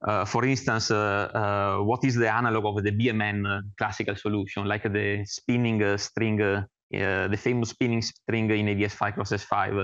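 A man from Italy with an Italian accent gives an informal research presentation as an academic, speaking haltingly with many filled pauses. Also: on the low side, little pitch movement, fairly bright tone, dry recording, highs cut off. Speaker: academic researcher introducing himself and his work.

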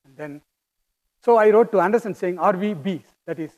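A middle-aged man with a strong Indian accent giving a physics lecture, speaking in a halting, thoughtful way with fillers and restarts. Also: sits high, darkish tone, lo-fi sound. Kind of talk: academic lecture.

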